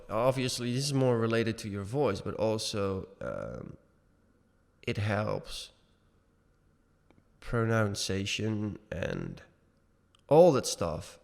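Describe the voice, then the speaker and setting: A man in his thirties with a low, gravelly voice co-hosts a podcast over a webcam call. He talks in a halting way, full of fillers and restarts, and the room adds a long reverb tail. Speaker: podcast co-host. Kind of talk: casual video podcast chat. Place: home room, indoors. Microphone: webcam video call.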